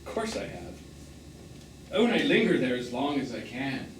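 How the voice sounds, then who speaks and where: A mid-pitched male voice carries across a noisy, reverberant theatre. An actor plays a wounded romantic, lyrical and reflective, with melancholy tenderness and a pointed edge. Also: very animated, normal speed, lightly acted. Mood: pointed, tender. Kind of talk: naturalistic stage drama dialogue. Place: theatre stage, recorded from the audience distance. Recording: echoey, distant, noisy live theatre recording.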